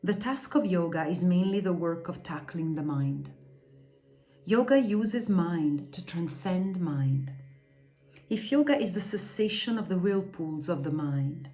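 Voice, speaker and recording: Woman, slightly low pitch, scripted instructional reading, measured, deliberate delivery. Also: animated, dark tone. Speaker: reader of a yoga philosophy text.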